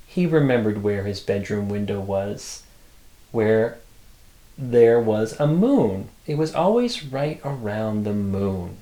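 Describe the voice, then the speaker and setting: A man's voice: on the low side, a little mellow, roomy sound, poor audio. A middle-aged man reading picture books aloud as a storyteller, adding asides full of childlike wonder. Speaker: storyteller, host.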